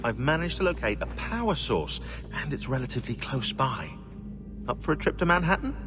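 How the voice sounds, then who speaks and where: A man playing a brisk, sarcastic team leader in a video game, dry and impatient. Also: mid-range voice, very animated, over music, natural voice. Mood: upbeat. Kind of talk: video game cutscene acting.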